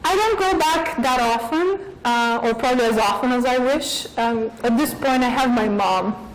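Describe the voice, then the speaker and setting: A woman in her forties from Bulgaria with a Bulgarian accent, giving a thoughtful interview as a professor, with frequent pauses and fillers. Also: on the high side, rough recording. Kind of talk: interview answers.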